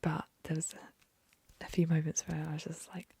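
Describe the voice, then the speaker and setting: Breathy whispering young woman. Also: deep voice, flat delivery, moderate pace, no room sound. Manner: soft whisper, chatty with fillers after the story. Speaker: ASMR storyteller. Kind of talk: ASMR whispered story reading.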